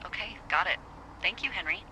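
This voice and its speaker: A woman's voice: lowish voice, brisk. A woman playing a wry, teasing fire lookout supervisor in a video game, talking playfully over a walkie-talkie. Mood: flirty, teasing. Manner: playful, sardonic. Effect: walkie-talkie radio. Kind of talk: naturalistic video game voice acting. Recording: radio-filtered voice with clear background noise.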